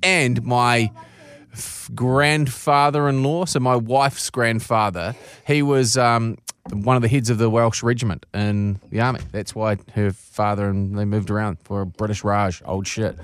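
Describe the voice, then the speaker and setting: A man's voice: medium pitch, steady tone, measured pace, clean tone, dry recording, clean studio sound. A New man co-hosting a podcast, rambling and enthusiastic, with casual swearing. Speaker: podcast co-host. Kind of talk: casual chat.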